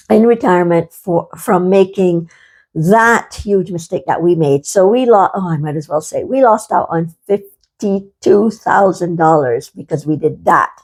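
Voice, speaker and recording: A woman's voice: medium pitch, a little mellow. An older woman from Barbados talking in a rambling, earnest vlog monologue, full of fillers and pleading asides. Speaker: vlogger.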